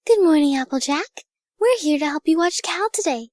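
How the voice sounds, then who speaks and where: A woman voicing a gentle, kindly young female pegasus pony from a cartoon, soft, polite and soothing. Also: high voice, animated, moderate pace, no echo, clean studio sound. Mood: polite, reassuring.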